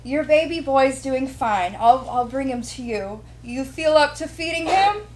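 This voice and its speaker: Woman reading as a cheerful, unhurried hospital nurse. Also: high-pitched, lively intonation, a little mellow, a little hiss or hum, rough recording. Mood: bright, reassuring. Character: cheerful, brisk, kindly red-haired hospital nurse.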